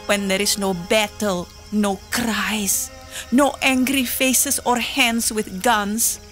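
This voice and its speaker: An actress playing a proud, desperate young native woman in a full-cast audio drama, speaking in slow, poetic, impassioned pleas. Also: mid-range voice, steady tone, fairly bright tone, faint music behind. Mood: bitter.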